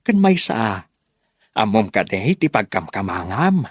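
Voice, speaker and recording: A man's voice: fairly low pitch, big pitch swings, slow pace, breathy edge, dry recording, lo-fi sound, heavily acted. A man playing a worried village father of a grown daughter in a radio drama, with an Ilocano accent.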